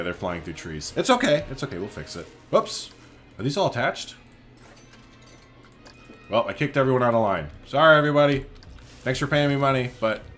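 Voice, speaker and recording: A man's voice: mid-range voice, fairly bright tone. A man hosting a gaming video, chatting casually and playfully as he thinks aloud through his play. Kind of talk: casual let's play commentary.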